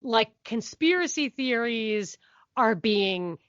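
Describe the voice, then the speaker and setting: A woman's voice: on the high side, expressive, takes it slowly, a bit bright, dry recording, dull audio. Middle-aged woman in casual, dry-sounding podcast chat. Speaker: podcast co-host.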